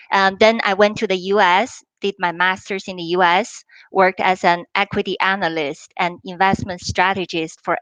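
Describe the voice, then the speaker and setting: A woman speaks as a guest fund manager, explaining things in a measured way over a remote call. Her voice is low-pitched and smooth. The sound is dry and close, with no room echo. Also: fairly bright tone. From China. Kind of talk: webinar interview answers. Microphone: remote call line.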